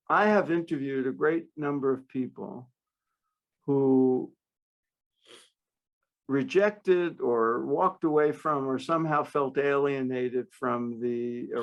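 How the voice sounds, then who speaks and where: A man co-hosting a podcast, welcoming the guest and asking slow, reflective questions. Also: medium pitch, vocal fry, highs cut off. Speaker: podcast co-host. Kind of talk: interview questions.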